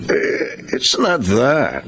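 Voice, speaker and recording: A man's voice: medium pitch, huge pitch swings, very slow, gravelly, noisy background, full character voice. An actor playing a hesitant, pleading businessman in a radio drama, coaxing and mixing in English. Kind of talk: Tagalog radio drama acting.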